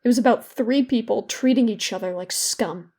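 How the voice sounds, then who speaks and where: A woman's voice: on the high side, normal speed, mellow. A young woman playing a guilt-ridden, bitter therapy patient in a confessional, self-loathing audio drama monologue. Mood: anguished, self-loathing. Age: young adult.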